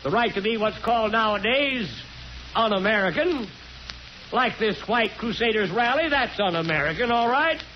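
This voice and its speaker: Old noisy 1940s radio, stubborn folksy small town mayor speechifying, high voice, really swings up and down. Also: male voice, expressive, normal speed, muffled recording. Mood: earnest, defiant. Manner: folksy oratory, colloquial and forceful. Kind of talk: 1940s radio drama acting, public speech.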